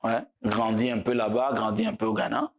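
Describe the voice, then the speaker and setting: A man from Togo, a musician guest talking in a casual interview, relaxed and halting, often repeating words. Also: lowish voice.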